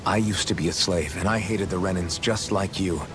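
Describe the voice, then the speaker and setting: A man's voice: low-pitched, a little fast, loud music behind the voice, natural voice, low-quality recording. A man playing a blunt, earnest young hero, once a slave, in a video game cutscene. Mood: earnest, solemn. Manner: direct, questioning, plain-spoken.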